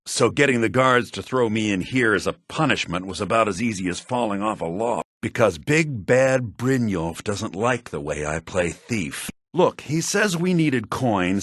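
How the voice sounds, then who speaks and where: A male actor playing a brusque, self-assured townsman in a fantasy video game, with a husky voice and a theatrical, deliberate delivery. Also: lowish voice, fairly bright tone, dry recording, studio quality. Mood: cocky, resentful. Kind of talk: fantasy role-playing game character dialogue.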